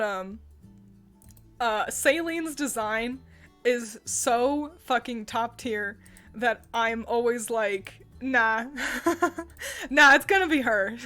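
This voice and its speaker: A young woman chatting casually as co-host, gushing with excitement and laughing often. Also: on the high side. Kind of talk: casual chat.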